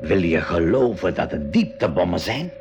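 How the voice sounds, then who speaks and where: Gravelly, animated uncle-captain. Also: male voice, sits low, normal speed, music in the background, full character voice. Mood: tense, resolute. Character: worried but resolute uncle, inventor-captain of a submarine. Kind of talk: 1980s school radio play acting.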